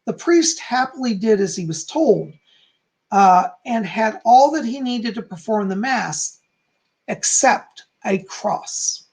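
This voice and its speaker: Hoarse, calm, gravelly-voiced man. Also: sits high, slow, poor audio. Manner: calm, soft-spoken. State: hoarse, losing his voice. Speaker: psychic teaching about folk magic. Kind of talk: livestream teaching, reading aloud from a book and chat comments.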